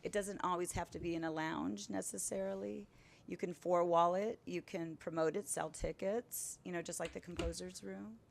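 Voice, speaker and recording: A middle-aged woman entertainer, a guest in an interview, talking in a casual, animated and emphatic way. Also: on the low side, moderate pace, creaky. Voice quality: powerful voice.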